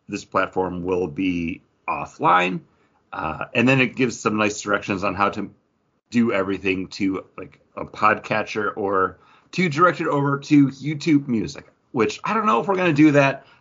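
A man's voice: lowish voice, sharp tone, highs cut off. A man chatting casually and jokingly as a podcast host. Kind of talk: casual banter on a comics podcast.

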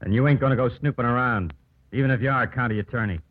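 Actor as a fast-talking gruff stableman. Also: mid-range voice, close to an everyday voice. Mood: surly. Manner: gruff, folksy rural grammar. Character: surly, defiant small-town livery stable man. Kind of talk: 1950s radio drama acting. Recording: narrow, noisy old radio broadcast.

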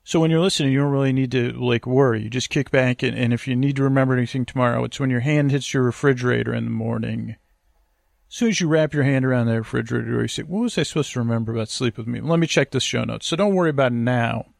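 Male sleep-podcast host, creaky mid-range voice, dry close sound, meandering and halting, full of self-interruptions and absurd tangents. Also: medium pitch, no echo.

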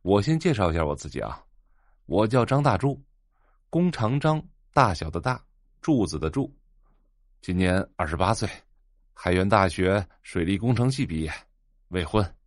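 An actor playing an eager, fawning young computer shop owner in an audio drama, with an excitable, ingratiating manner. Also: male voice, low voice, normal speed, pauses often, no echo, clean studio sound. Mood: eager, obliging. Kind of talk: Chinese audio drama acting.

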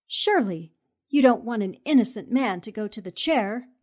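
A woman's voice: on the high side, lively intonation, moderate pace, a little mellow. A woman playing a determined, shrewd newspaperwoman sleuth, questioning formally in a 1940s-style radio drama. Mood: probing.

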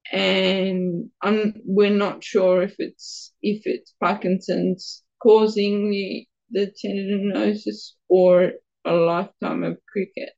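A woman speaks at a very unhurried pace, her voice quiet and gravelly. She halts and restarts now and then as she answers. The sound is dull and lo-fi. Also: mid-range voice, little pitch movement. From Australia. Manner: halting, stumbles a little over words. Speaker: guest with Parkinson's. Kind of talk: casual interview answers.

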